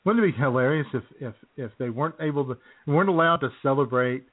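A man with an average-pitched voice sounds squeezed through a narrow, phone-like band. He banters casually as a comedy radio co-host, with a sardonic, crude streak. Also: fast talker.

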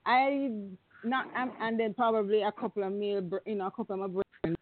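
A woman speaks on a radio panel, thinking aloud and restarting her sentences often. Her voice sits at a middling pitch. The sound is thin and narrow, like a phone line. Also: slight background noise. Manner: reflective, halting with many restarts. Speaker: panel guest.

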